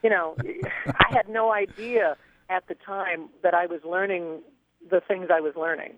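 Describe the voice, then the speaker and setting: A woman's voice: lowish voice, steady tone, unhurried. A woman singer telling stories as a guest in a radio interview, chatty and reflective, heard over a phone line.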